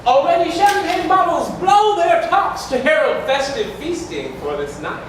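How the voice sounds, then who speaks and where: A man playing a grand, festive epilogue speaker in a wedding masque, declaiming flowery, measured verse on stage. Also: very high voice, big pitch swings, fairly bright tone, recorded from a distance, full character voice. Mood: grandly festive. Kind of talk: theatrical verse staged reading. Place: community hall stage. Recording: echoey hall from afar, clear noise.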